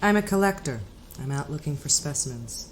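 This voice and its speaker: An actress playing a mysterious, composed woman hitchhiker in an audio drama, speaking in a cryptic, measured, formal manner. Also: low voice, expressive, slight background noise. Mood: enigmatic, composed.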